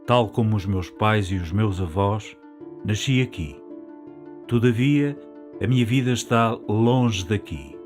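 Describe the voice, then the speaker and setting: A middle-aged man with a fairly low voice reads a first-person documentary narration. His delivery is reflective and nostalgic, and soft music plays behind him. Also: a little slow. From Portugal, later living in France.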